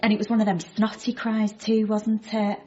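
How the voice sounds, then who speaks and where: An actress playing a blunt but kindly cafe waitress in a radio comedy drama, deadpan and matter-of-fact. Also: female voice, mid-range voice, normal speed, lo-fi sound, close to an everyday voice.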